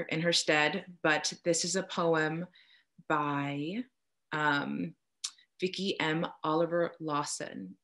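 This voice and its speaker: Low-pitched woman hosting poetry. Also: a bit bright. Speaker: poetry event host. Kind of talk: event hosting and poem reading.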